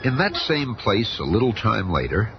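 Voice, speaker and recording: A man's voice: on the low side, sing-song, moderate pace, very noisy. A man playing a solemn, dramatic narrator of a superhero adventure in a 1970s radio drama. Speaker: announcer narrator. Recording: dull old radio recording, loud music behind.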